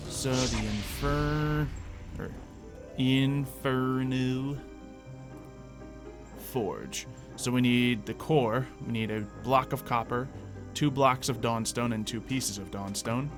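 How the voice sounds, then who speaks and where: Man, medium pitch, casual gaming host, rambling think-aloud delivery, light roleplay, clear background music. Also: slow.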